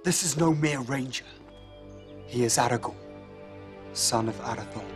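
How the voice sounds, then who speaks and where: An actor playing a noble elf archer who speaks with calm, dignified firmness in a film scene. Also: male voice, mid-range voice, moderate pace, soft background music, natural voice. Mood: firm. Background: orchestral film score.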